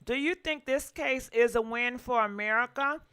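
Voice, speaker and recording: A woman's voice: on the high side, normal speed, bright, forceful tone, clean tone. A woman hosting a casual call-in show, chatty with many fillers as she prompts her callers. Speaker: host.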